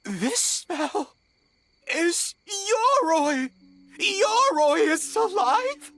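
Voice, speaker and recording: Childlike tanuki spirit: earnest, gullible game creature, high and deliberate, declaiming. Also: female voice, very animated, a bit bright, full character voice. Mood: astonished. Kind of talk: video game voice acting.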